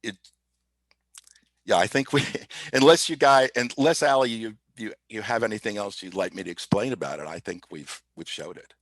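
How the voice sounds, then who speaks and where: A man giving an informal technical demo as a podcast guest, explaining things in a halting way. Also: on the low side, a bit bright, no echo. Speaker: podcast guest demonstrating software.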